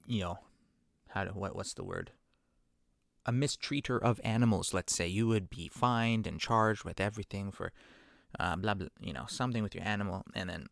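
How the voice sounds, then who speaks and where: Low, gravelly-voiced man rambling casually. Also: no room sound, studio quality. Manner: rambling, self-deprecating; conversational, many fillers and asides. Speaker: podcast speaker. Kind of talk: casual monologue.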